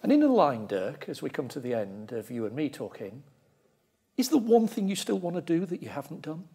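A middle-aged man interviews a guest on stage. His voice is fairly high for a man and moves in lively swings as he puts his questions. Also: fairly quick, slightly rough. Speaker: interviewer, host. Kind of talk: onstage interview. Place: large lecture theatre stage, seated before an audience.

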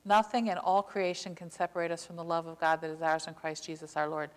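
An older woman preaches an impassioned sermon from a church pulpit, her voice sitting on the low side. She leans hard into key words, slowing down to drive them home. A long sanctuary reverb trails behind her through a clip-on mic. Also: little pitch movement. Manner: earnest, impassioned preaching with slow emphatic stresses. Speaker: pastor.

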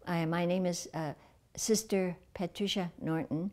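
Hesitant older woman, low warm voice. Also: fairly even intonation, a little slow. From Milwaukee, Wisconsin. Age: 80s. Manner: hesitant, many fillers. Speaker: retired nun. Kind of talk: documentary interview. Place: indoor room. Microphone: lapel.

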